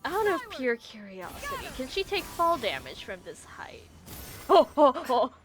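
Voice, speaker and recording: A woman's voice: high voice, sing-song, a little slow, faint music behind. A woman streaming a game, chatting and commenting in an offhand, slangy way. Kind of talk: casual chat and game commentary.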